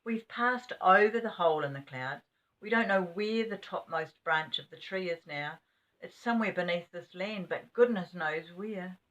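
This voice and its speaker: A woman's voice: fairly low pitch, normal speed, fairly bright tone, natural voice, low-quality recording. A woman voicing a practical young boy in a storybook, insistent and urgent as he calls out. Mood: alarmed. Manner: urgent, calling out.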